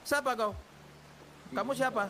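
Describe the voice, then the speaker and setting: A man's voice: very high-pitched, measured pace, heavy background noise. A man roleplaying a gang boss in an online game, teasing and grilling another player in a bossy way. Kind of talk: in-character roleplay chat.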